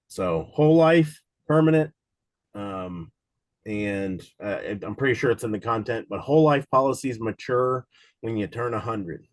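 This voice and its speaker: A man with a slightly low voice, raspy from a lingering cold, teaches an exam prep class over a video call. He talks casually and rambles, restarting sentences and filling gaps with "um" and "uh". The sound is dry and close, with no room around it. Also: no room sound. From Cincinnati, USA. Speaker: insurance exam instructor. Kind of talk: live online study session.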